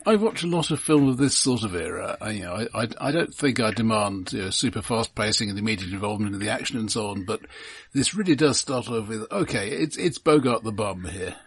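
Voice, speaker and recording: A man co-hosting a film podcast, talking casually in a thoughtful, halting way and often correcting himself. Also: lowish voice, lively intonation, breathy edge, dry recording. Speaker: podcast co-host.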